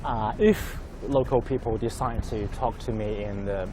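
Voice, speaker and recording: A man's voice: fairly low pitch, steady tone, normal speed, noisy background. A man from northern China, a native Chinese speaker, chatting in English as host while cycling outdoors, with many fillers and restarts. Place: outdoors on a city seaside road.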